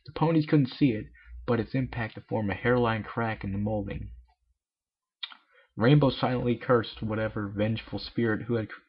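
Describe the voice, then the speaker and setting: A man's voice: medium pitch, slightly rough. A man reading fan fiction aloud in an informal, irreverent way with joking asides, on a muffled home recording.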